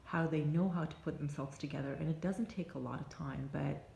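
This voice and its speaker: A native Russian-speaking woman with a Russian accent, giving reflective advice to camera in a halting, thoughtful way with pauses mid-sentence. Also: low-pitched, gravelly, mellow, lo-fi sound. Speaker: life coach and vlogger. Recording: roomy echo, faint background noise.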